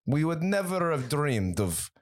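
A man's voice: on the high side, very animated, a little slow, voice pushed up. A man putting on a joking foreign accent as a newcomer from a faraway land, amazed by electronics. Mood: awed. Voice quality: mock foreign accent, giggly.

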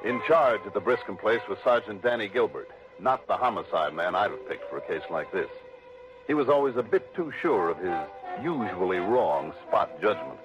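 An actor playing a shrewd, skeptical American investigator, clipped and dry, in early sixties radio drama style. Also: male voice, fairly low pitch, animated, moderate pace, over music, lightly acted. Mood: dry, narrating. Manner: clipped, probing questions, dry narration. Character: shrewd, skeptical male insurance investigator and narrator. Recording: muffled vintage radio recording.

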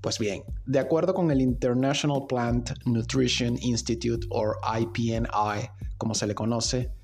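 A man's voice: mid-range voice, fairly even intonation, a little slow, gravelly, a little mellow. A man hosting a podcast, telling a story in an informal, conversational way with sardonic asides. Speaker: podcast host. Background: music.

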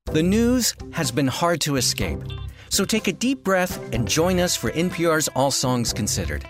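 A man's voice: on the high side, big pitch swings, moderate pace, over music. A man reading a scripted podcast promo in a soothing, inviting manner.

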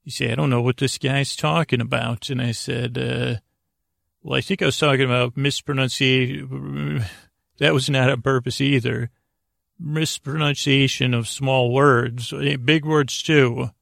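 A man with a creaky voice hosting a sleep podcast, rambling in a halting, meandering, self-deprecating way and drifting into tangents. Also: mid-range voice, fairly even intonation, a bit bright, no echo, clean studio sound. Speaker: podcast host.

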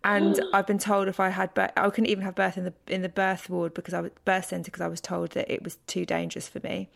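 A woman's voice: on the low side, completely flat delivery, fast, creaky, fairly bright tone. A woman hosting a candid podcast chat, emphatic and swearing freely as she talks. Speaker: podcast host. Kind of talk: candid casual conversation.

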